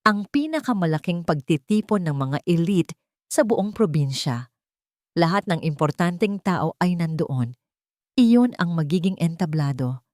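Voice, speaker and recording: A woman voicing a determined, vengeful mother in an audio story, firm, dramatic and deliberate. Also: lowish voice, expressive, a little mellow, no echo, studio quality. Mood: persuasive.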